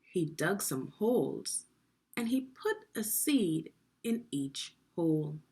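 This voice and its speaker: Lady teacher doing a kids read-along, really animated, slightly husky voice, slow and deliberate. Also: on the low side. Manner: expressive, deliberate, instructional. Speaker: teacher reading aloud to children. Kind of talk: read-along storytelling with guiding questions.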